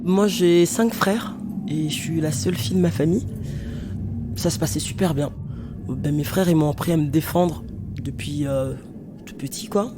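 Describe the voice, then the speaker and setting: A woman's voice: low voice, normal speed, over music. A woman in her thirties, an artist and DJ, speaking directly and easily about her life in an interview, with frequent fillers. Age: 30s. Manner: relaxed and direct, with many fillers. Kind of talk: personal testimony in an interview.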